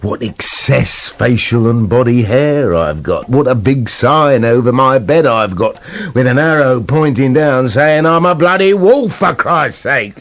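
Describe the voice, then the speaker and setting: A man's voice: medium pitch, lively intonation, a little slow, few pauses. A British man playing a sardonic, bossy wolf in a comic radio play, grumbling and sarcastic. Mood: indignant, sarcastic. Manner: sarcastic, exasperated, sweary.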